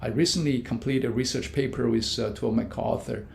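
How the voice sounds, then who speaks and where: A man, a business professor, giving measured answers in an interview with frequent fillers and restarts. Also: fairly even intonation, creaky. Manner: many fillers and restarts, non-native grammar; measured, explanatory. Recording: echoey room with faint rumble.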